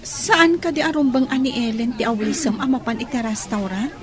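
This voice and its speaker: High-voiced young Ilocano daughter acted by a woman. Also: normal speed, natural voice. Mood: plaintive, questioning. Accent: Ilocano Filipino. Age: young adult. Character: young woman, courted daughter, plaintive with her family. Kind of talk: Filipino radio drama acting.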